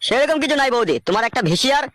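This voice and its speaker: A man's voice: extremely high pitch, animated, rapid-fire, fairly bright tone, no room sound. A man voicing a crude, swaggering neighbourhood thug in a comic cartoon dub, speaking. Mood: smooth swagger. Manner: bullying, crude slangy street talk.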